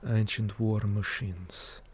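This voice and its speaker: A man performs a stern, war-weary orc commander for an ASMR roleplay, his low voice dark and very slow. He speaks in a hushed, measured way, as a dutiful soldier, with faint noise behind him. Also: fairly even intonation. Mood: grave, weary. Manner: slow, deliberate, hushed, often dropping to a whisper. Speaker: interrogator in a roleplay.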